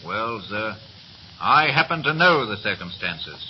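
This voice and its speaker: An actor playing a grizzled quartermaster in his fifties in a 1930s radio play, deferential, measured and guarded. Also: on the low side, very animated. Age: 50s. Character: grizzled veteran quartermaster of fifty, respectful, steady, guarded. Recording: muffled, hissy 1930s radio recording.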